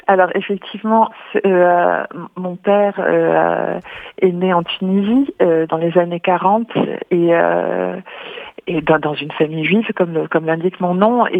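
A woman's voice: lowish voice, vocal fry, no room sound. A woman author answering radio interview questions, hesitant, with many fillers and restarts. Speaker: author and translator, interview guest. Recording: tinny phone-like line, dry.

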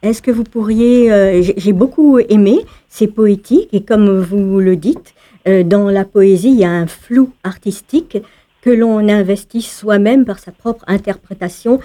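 A woman's voice: mid-range voice, measured pace, mellow. A woman interviewer on the radio asking long, winding questions with frequent fillers.

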